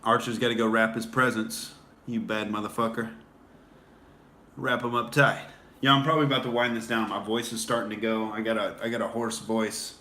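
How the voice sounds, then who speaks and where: A man's voice: lowish voice, little pitch movement, brisk, pushed and bright. A man hosting a casual livestream, rambling with many fillers and restarts while answering viewer comments. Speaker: livestream host.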